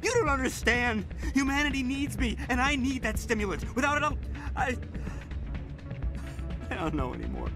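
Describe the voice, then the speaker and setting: A man's voice: extremely high pitch, wildly swooping pitch, moderate pace, exaggerated voice. An actor playing a pushy, desperate male negotiator in a video game, agitated and pleading as he justifies himself. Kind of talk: video game dialogue acting. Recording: music playing behind the voice. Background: loud music.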